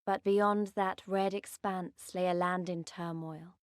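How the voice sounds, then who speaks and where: A wistful game narrator, very dry. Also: female voice, fairly low pitch, steady tone, no room sound. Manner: measured, reflective, storytelling. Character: wistful woman narrator recalling a far-off homeland sea. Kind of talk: video game cutscene narration.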